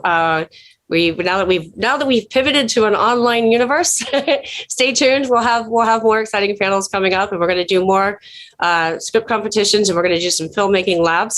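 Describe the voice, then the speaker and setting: A woman hosts an online event over a video call. Her voice sits a little low for a woman. She speaks informally, in an effusive and encouraging way. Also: a bit bright. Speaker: event host and organizer. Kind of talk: informal closing remarks at an online ceremony.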